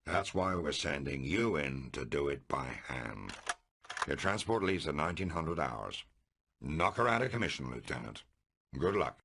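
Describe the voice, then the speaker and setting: An actor playing an authoritative, plain-spoken American intelligence colonel giving a brisk mission briefing in a video game. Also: male voice, extremely low pitch, big pitch swings, fairly bright tone, breathy edge, heavily acted. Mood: businesslike, urging.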